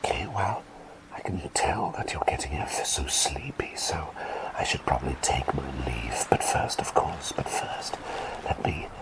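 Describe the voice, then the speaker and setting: A man whispering as a gentle talking bird doctor. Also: a bass voice, animated, poor audio. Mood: soothing. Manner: rambling, halting storytelling; soft, soothing and reassuring. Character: kindly talking bird plague doctor, male, quirky and gentle. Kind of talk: whispered ASMR roleplay.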